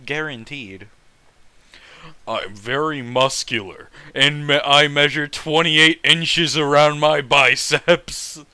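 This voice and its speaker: Bright-voiced man, foul-mouthed read-aloud commentator. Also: fairly high pitch, slow, smooth, no echo. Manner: foul-mouthed, incredulous, breaks off to react. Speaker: host reading fan fiction aloud and commenting.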